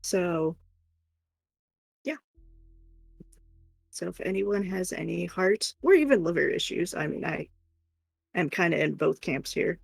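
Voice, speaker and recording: A woman's voice: lowish voice, unhurried, gravelly, long pauses, husky, no room sound. A woman chatting casually and candidly as a livestream co-host, rambling informally.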